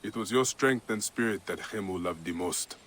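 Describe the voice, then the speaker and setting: An actor playing a grim, solemn Egyptian warrior in a video game cutscene. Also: male voice, lowish voice, moderate pace, a bit bright. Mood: grim, resolute. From Siwa, Egypt (in-story). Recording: game music behind the voice.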